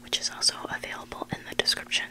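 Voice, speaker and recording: A person whispering a story in ASMR style as a host, hushed and deliberate, pausing between short phrases. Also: rough recording. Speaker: ASMR host reading submitted online stories.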